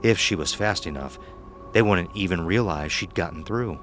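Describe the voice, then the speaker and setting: A man's voice: deep voice, normal speed, a bit bright, faint music behind. A man reading a scripted horror story as narrator.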